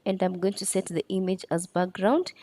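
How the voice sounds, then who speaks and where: Woman tutorial presenter, mellow and relaxed tone, dry close sound, methodical instructional delivery. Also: mid-range voice, normal speed, no room sound. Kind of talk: step-by-step screen tutorial narration.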